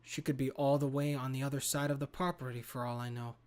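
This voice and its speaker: A man's voice: mid-range voice, little pitch movement, fast, darkish tone. A man reading a horror game's story narration aloud as a gaming host. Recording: clear background noise.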